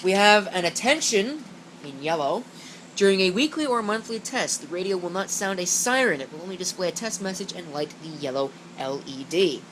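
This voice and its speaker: A woman with a low-pitched voice talks through a product in a plain, rambling way. She reads details off and corrects herself as she goes. The amateur camera microphone picks up a steady noise behind her. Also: moderate pace. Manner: rambling, matter-of-fact, self-correcting. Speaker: gadget reviewer showing an unboxing. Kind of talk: casual unscripted product walkthrough, reading packaging aloud. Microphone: built-in camera microphone. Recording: steady background hum, amateur camera audio.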